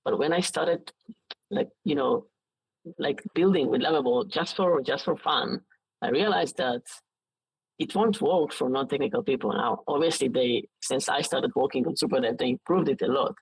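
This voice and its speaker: A man in his late twenties with an Israeli accent, talking casually as an interview guest in a halting way with frequent false starts. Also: medium pitch, vocal fry, breathy edge, dry recording, low-quality recording.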